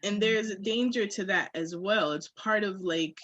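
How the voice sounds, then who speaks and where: Woman, husky voice, emphatic casual talk, swears freely, slightly muffled lo-fi audio. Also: medium pitch, moderate pace, fairly bright tone, no room sound. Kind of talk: candid podcast conversation.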